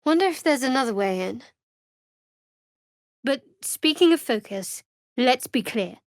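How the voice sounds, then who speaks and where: A young cleric of a dark goddess in a fantasy game, speaks in a fairly high voice. She is guarded and sardonic, with a dry wit, and the sound is close and dry with very little room. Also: expressive, unhurried, no echo. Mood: wry, measured. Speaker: video game character.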